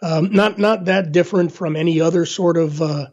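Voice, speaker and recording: A middle-aged man, hoarse after illness, answering interview questions in a halting way with many fillers and restarts. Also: on the high side, steady tone, a little mellow. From Northern Virginia, USA. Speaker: guest. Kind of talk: casual interview talk. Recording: dull lo-fi line.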